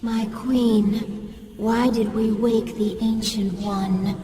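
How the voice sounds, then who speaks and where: An anxious alien handmaiden from a video game speaks in a highish, formal voice. Her slow, deferential words trail into long reverb over noisy ambience. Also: steady tone. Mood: wary, questioning. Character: deferential, anxious alien servant creature of a queen.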